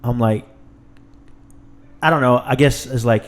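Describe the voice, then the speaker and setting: A man's voice: medium pitch, measured pace. A thirty-year-old man hosting a podcast, chatting in a laid-back way with many fillers and trailing thoughts. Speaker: podcast host. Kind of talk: casual chat.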